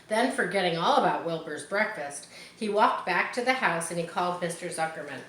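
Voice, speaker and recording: A woman reading a children's story aloud in an expressive narrating style. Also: lowish voice, brisk. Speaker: audiobook reader. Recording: echoey room, faint background noise.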